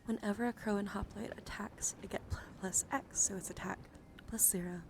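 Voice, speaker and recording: A woman doing an ASMR livestream, chatting softly to viewers and often slipping into breathy whispers, repeating words soothingly. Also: medium pitch, steady tone, brisk. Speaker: streamer.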